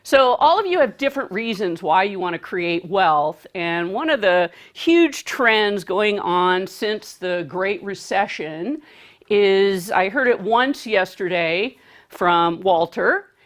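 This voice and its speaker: Woman speaker at a live seminar, measured and deliberate, projects to the back and quizzes the audience. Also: mid-range voice, expressive. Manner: projecting, asks the audience questions.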